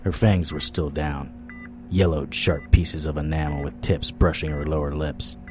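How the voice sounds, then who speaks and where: A man's voice: a bass voice, level tone, moderate pace. An actor playing a sardonic vampire-hunting private eye, telling his story in a dramatic noir audio drama style, over music. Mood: grim, urgent. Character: hard-boiled, wisecracking male vampire-hunting private eye. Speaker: narrator and lead actor. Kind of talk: first-person noir audio drama narration. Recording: music bed under the voice.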